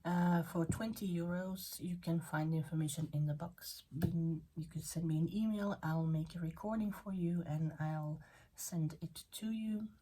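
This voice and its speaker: A woman whose first language is Dutch, giving a tarot reading in a halting way, with many fillers and restarts, and slipping into a soft, half-whispered tone at times. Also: on the low side, steady tone, a little hiss or hum, rough recording. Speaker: tarot reader. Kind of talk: spoken tarot reading video.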